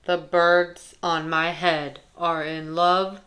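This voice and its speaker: A woman's voice: lowish voice, little pitch movement, slow pace, fairly bright tone, a little hiss or hum, pitched below the performer's own voice. A woman voicing an anxious, easily alarmed male elephant with dramatic, emphatic delivery in a children's picture book. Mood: alarmed, puzzled.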